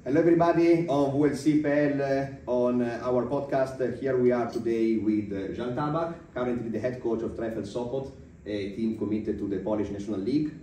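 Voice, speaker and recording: A man's voice: medium pitch, a little fast, dark tone. A man hosting a podcast interview, asking questions in formal phrasing broken by many hesitations. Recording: roomy echo, distant mic, faint noise.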